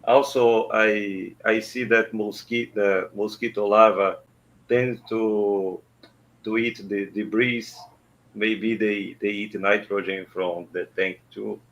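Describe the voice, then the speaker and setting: A Brazilian man with a Brazilian Portuguese accent calls in to chat, speaking slowly and haltingly as he searches for English words. His voice is low and gravelly. The line sounds dull and lo-fi. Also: steady tone, a bit bright. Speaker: caller. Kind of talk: casual chat.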